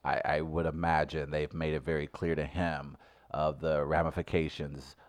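A man co-hosting a casual podcast chat, from the St. Louis area, who talks in animated bursts and climbs into heated rants. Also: very deep voice, normal speed, no room sound, studio quality.

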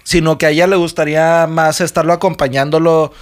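A man's voice: a little high, little pitch movement, brisk. A young Mexican man co-hosting a podcast, chatting casually in a laid-back, jokey way with lots of slang and frequent laughter. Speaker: podcast co-host. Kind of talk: casual chat.

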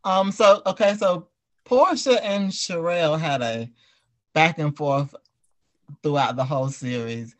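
Higher-voiced man hosting a casual chat. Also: unhurried. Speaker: podcast host. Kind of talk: casual group discussion.

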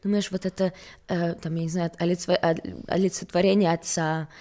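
A woman's voice: fairly low pitch, little pitch movement, normal speed, mellow. A young woman from Sweden, a model and interview guest, talking candidly and self-mockingly in a casual rambling way. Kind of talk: casual chat.

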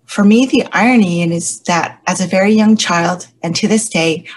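A woman who stutters, a church elder, giving a measured, reflective personal faith testimony. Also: lowish voice.

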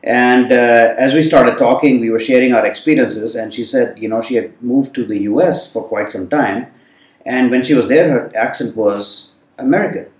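A man's voice: medium pitch, moderate pace. Man recalling his youth, echoey and distant. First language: Hindi. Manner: thoughtful, many fillers. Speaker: guest. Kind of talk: reflective storytelling in conversation. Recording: roomy sound from a distant mic.

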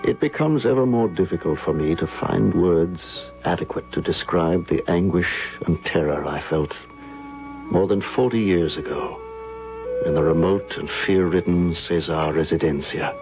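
An actor playing an ardent, insistent lover in an old-time radio drama, speaking in an earnest, florid, impassioned way. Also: male voice, deep voice, moderate pace. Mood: brooding recollection. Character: ardent, insistent male lover recalling a haunted romance. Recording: muffled lo-fi old radio, music behind.

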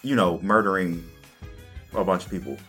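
A man co-hosting a casual podcast, talking in an animated, emphatic way. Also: low voice, normal speed, soft background music. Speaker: podcast co-host. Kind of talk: casual chat.

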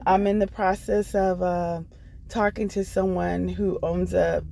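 A woman's voice: on the low side, little pitch movement, unhurried, creaky, dark tone, a little hiss or hum. A woman with an American accent telling her own story off the cuff, speaking in an earnest, encouraging manner. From Washington D.C. area, United States. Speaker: guest storyteller, comedian and actress. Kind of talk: unscripted personal storytelling.

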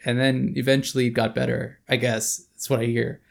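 Man, thirties, gravelly voice, hesitant casual chat, lots of fillers. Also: medium pitch, level tone, brisk. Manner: thoughtful, hesitant, many fillers. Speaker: podcast co-host.

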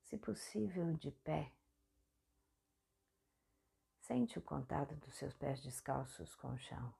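A Brazilian woman hosting a guided meditation, speaking softly and breathily in short, soothing phrases. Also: low voice, animated, takes it slowly, creaky, slightly rough. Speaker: guided meditation podcast host.

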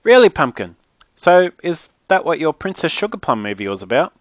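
A man's voice: fairly high pitch, very animated, normal speed, no room sound, voice pushed up. A man voicing an easygoing, affectionate father in a children's audiobook, cheerful and indulgent.

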